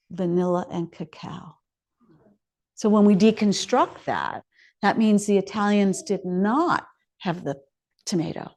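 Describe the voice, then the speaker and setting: A woman's voice: medium pitch, a little mellow. A woman chef giving a public talk, telling it like a story and asking the audience rhetorical questions. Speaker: chef and speaker. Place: hall of a residential contemplative community.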